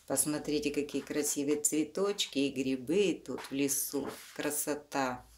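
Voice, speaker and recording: A woman with a low voice reads to young children. She goes slowly, using fond diminutives and simple explanations as a storyteller would. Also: darkish tone, a little hiss or hum. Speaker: children's book reader, channel host.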